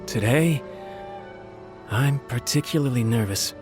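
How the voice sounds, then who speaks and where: An actor playing a nervous, deferential young retainer in an anime game, hesitant and very polite, with music behind him. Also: male voice, medium pitch, a little slow, natural voice. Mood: anxious, apologetic. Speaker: game character. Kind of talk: scripted anime game voice acting.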